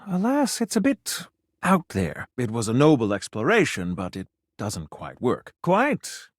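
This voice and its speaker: A man's voice: mid-range voice, wildly swooping pitch, a little slow, no echo, clean studio sound. A man voicing a pompous, flirtatious food critic in a video game, speaking in florid, theatrical phrases sprinkled with French. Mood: disdainful, critical. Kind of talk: scripted video game character dialogue.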